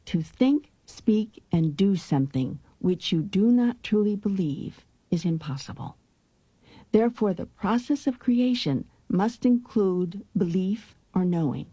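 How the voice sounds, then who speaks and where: A woman reading an audiobook in a measured, deliberate way, solemn and authoritative. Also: medium pitch, animated, dark tone, slightly rough, dry recording. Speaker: audiobook narrator. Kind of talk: measured scripted audiobook narration.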